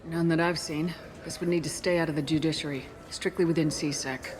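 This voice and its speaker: A level-headed female military commander from a video game, acted by a woman. Her voice sits low and her delivery is terse, direct and composed. Heavy ambient noise sits behind the words. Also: steady tone, a little fast, natural voice. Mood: firm, pragmatic. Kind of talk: dramatic video game dialogue.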